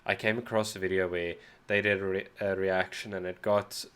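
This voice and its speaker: Low-voiced South African man, rambling. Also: little pitch movement, normal speed, a bit bright, smooth. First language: Afrikaans. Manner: rambling, self-deprecating, often restarts words. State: slightly sick. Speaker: music reaction channel host. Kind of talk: casual reaction video chat.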